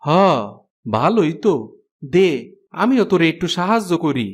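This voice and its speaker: A man's voice: high-pitched, animated, normal speed. A man with a Bangladeshi accent voicing an ailing, downhearted but loving village father in a cartoon. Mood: fond. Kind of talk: Bengali fairy tale cartoon dubbing.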